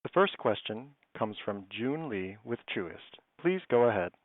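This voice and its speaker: A man's voice: medium pitch, very animated, measured pace, no room sound. A man working as a conference call operator, giving scripted instructions in a formal, procedural manner. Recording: dry, phone-like call line.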